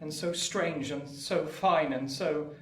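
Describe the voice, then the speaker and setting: A woman's voice: low voice, slow pace. A woman acting a shy, polite young working-class boy in a radio play, speaking meekly and hesitantly. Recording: distant echoey room with noise.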